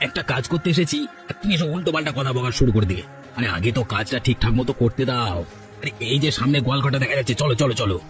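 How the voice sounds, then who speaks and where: Man acting a gossipy cartoon villager plotting mischief, talks quick, medium pitch, faint music. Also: fairly bright tone, natural voice. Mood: impatient, plotting.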